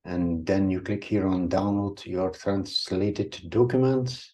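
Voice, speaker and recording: A middle-aged man whose first language is Dutch, hesitantly walking through a screen-recorded software tutorial. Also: deep voice, slow. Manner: hesitant, many ums and uhs. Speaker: tutorial presenter.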